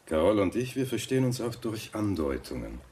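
Very deep-voiced, suave middle-aged schemer. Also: male voice, big pitch swings, darkish tone, slight background noise. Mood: suave, condescending. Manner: urbane, measured, condescending. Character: rich, suave, scheming older rival and ex-husband. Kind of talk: radio drama acting.

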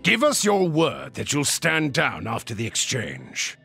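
An actor playing a stern, zealous holy knight grandmaster in a video game, commanding and pompous. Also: male voice, medium pitch, huge pitch swings, a little slow, gravelly, pushed and bright, husky, faint music behind, full character voice.

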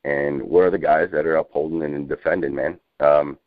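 Male activist, very low voice, rambling and insistent political talk, narrowband dry phone audio. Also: fairly even intonation, no room sound. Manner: halting, repetitive, insistent, peppered with you know. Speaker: civilian activist on a group conference call. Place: telephone conference call. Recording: muffled narrowband phone conference line.

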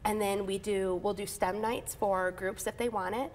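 A woman's voice: mid-range voice, steady tone, moderate pace, a little hiss or hum. A woman in her thirties, an airport manager, talking eagerly in a TV studio interview with plenty of fillers and restarts. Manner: enthusiastic, chatty, frequent fillers and restarts. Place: TV news studio. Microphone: lapel.

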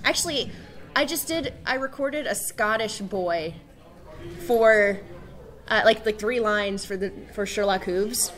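A young woman voice actor chatting casually on a convention panel, bubbly and quick to laugh, into a table mic. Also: mid-range voice, a bit bright. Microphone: table mic on stand.

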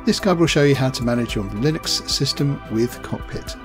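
A man reading a scripted software tutorial voice-over, plain and steady, spelling out commands slowly. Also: mid-range voice, over music. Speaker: tech tutorial narrator.

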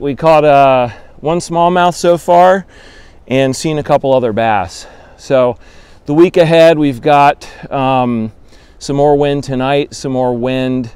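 A man in his thirties talks through a fishing report in a relaxed, unhurried way, pausing on frequent ums. His voice is very clean and smooth. There is almost no room sound around it, dry and close in the open air on the water. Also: medium pitch, no room sound. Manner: relaxed and conversational, many ums. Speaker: fly shop guide giving a fishing report. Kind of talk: casual outdoor fishing report. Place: outdoors on a raft on a windy river. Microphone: camera at the bow, a few feet away.

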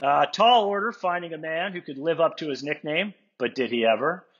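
A man's voice: a little high, a little fast, very noisy. A man co-hosting with scripted introductions and wry, fond joking asides. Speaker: co-host introducing cast. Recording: slightly muffled video call audio.

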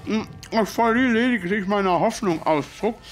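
An actor playing a genial, fussy doctor and loyal companion, hesitant and polite, who also narrates an audio drama. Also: male voice, high voice, a little slow.

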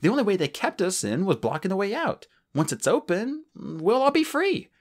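A man voicing a fierce, bossy young female dragon, speaking high and commanding. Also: big pitch swings, moderate pace, heavily acted. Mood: determined.

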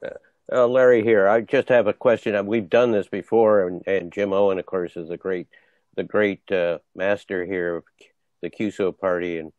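A man with a very low voice offers informal comments. He explains in a halting way, and the sound is close, dry and somewhat dull. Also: fairly even intonation, darkish tone, dry recording. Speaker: club member commenting. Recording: dull, dry video-call audio.

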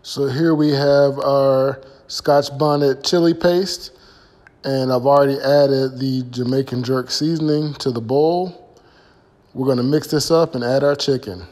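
A man's voice: medium pitch, steady tone, unhurried, darkish tone, long reverb tail. A middle-aged American man casually explaining a recipe as an easygoing home-cooking host, recorded on a phone in a kitchen. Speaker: home cook hosting a cooking vlog. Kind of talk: casual recipe walkthrough to camera. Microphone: phone at arm's length.

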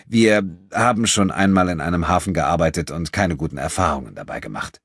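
A man's voice: low voice, a little fast, a bit bright, breathy edge, no room sound, studio quality, heavily acted. A man voicing a wry, conciliatory adventurer in an audiobook, earnest and resigned.

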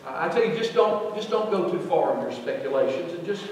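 A middle-aged man preaching a sermon, swinging between loud, emphatic lines and soft ones. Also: fairly high pitch, expressive, brisk, a little hiss or hum. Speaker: pastor. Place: large church sanctuary. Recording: echoey hall, voice from far off.

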